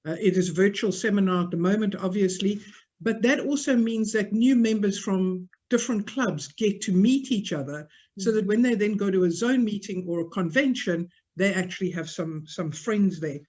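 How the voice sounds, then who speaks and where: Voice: mid-range voice, moderate pace, a little mellow. Club member from south africa, easygoing chat on a call, mid voice. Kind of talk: video call discussion. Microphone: video call.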